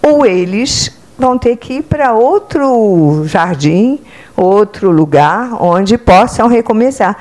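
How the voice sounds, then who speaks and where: A woman's voice: mid-range voice, takes it slowly. An older Brazilian woman, a psychotherapist, giving a spiritual lecture standing in an auditorium, talking into a handheld microphone and often checking in with her listeners. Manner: conversational, checks in with "entende?" and "né?"; speaks with lively hand gestures, standing. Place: club auditorium, indoors.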